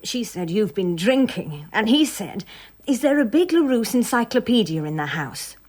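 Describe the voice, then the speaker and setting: A woman's voice: fairly high pitch, very animated, moderate pace, darkish tone. A woman playing a nosy, gossipy French village postmistress in a radio drama, eager, chatty and confiding.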